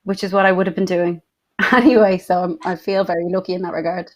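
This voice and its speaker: A woman's voice: lowish voice, fairly even intonation, fast talker. A woman, a playwright, chatting casually as an interview guest on a video call. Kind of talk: casual chat.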